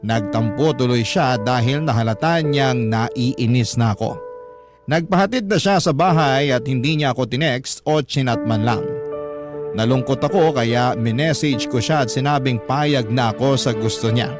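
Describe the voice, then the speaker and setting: An actor playing a troubled, embarrassed young Filipino man, narrating his love story in a measured, confessional way for radio. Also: medium pitch, close to an everyday voice. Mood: resigned, troubled. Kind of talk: scripted first-person radio drama narration. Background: background music.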